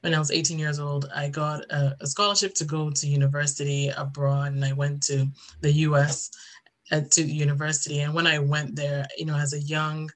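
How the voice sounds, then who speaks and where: A woman's voice: low voice, little pitch movement, a little fast. A woman, telling her personal story earnestly as an interview guest. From Ghana. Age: 30s.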